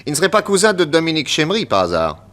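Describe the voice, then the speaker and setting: An actor playing a confident, witty detective inspector with a French accent, emphatic and theatrical in 1960s radio drama style. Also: male voice, a little high, huge pitch swings, racing, fairly bright tone. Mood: jovial. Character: confident, witty male detective inspector explaining his deductions.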